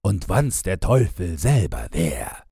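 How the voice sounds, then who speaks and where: A man voicing a brash, belligerent young soldier in a fairy-tale audiobook, gruff and boastful. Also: low voice, very animated, slow pace, dark tone, no echo, studio quality, heavily acted. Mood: grumbling.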